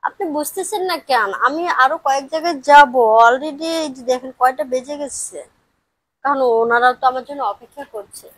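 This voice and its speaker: A woman plays a female parcel courier in an amateur audio drama. Her voice is very high and recorded close and dry. She is hurried and insistent, protesting with indignation and standing her ground. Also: fairly bright tone, no room sound. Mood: polite, hesitant.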